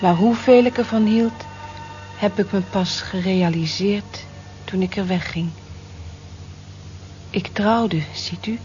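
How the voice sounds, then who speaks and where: An actress in a radio play as a lonely, gentle working-class housewife in her forties, speaking in a soft, confiding, reflective way. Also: medium pitch, slow pace, pauses often, soft background music, close to an everyday voice. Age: 40s. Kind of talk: naturalistic radio play acting, intimate monologue. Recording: muffled old radio drama recording.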